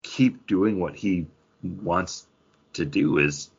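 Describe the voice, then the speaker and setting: A man with a lowish, husky voice talks through a book in a casual, informal way, like a podcast co-host. The recording is somewhat dull and lo-fi. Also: takes it slowly. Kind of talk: casual book discussion chat.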